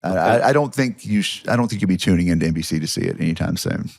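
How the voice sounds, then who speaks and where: A man's voice: low voice, quick pace, vocal fry, a little mellow, dry recording, studio quality. A middle-aged man with a Southern US accent, chatting as a podcast guest, telling self-deprecating stories with lots of restarts. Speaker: guest, history professor and comedian. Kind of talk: casual podcast chat.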